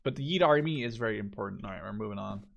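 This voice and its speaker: A man's voice: fairly low pitch, expressive, very fast, gravelly, muffled recording. A young man giving casual, informal let's play commentary on a game. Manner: informal, sweary. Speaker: gaming video creator.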